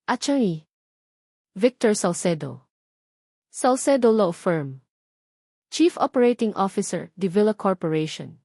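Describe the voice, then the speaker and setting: A woman's voice: mid-range voice, lively intonation, measured pace, pauses often, warm tone, dry recording, clean studio sound. Heartbroken betrayed young woman, as a female narrator plays her. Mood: shocked.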